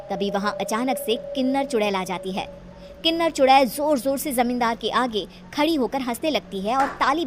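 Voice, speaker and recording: A woman's voice: on the high side, background noise. A woman storyteller reading scripted bedtime story narration.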